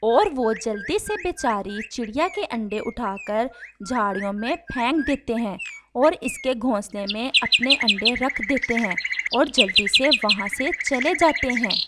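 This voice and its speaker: A woman with a high-pitched voice tells a children's story. She reads scripted narration as the storyteller of a cartoon fable. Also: expressive, measured pace, very noisy.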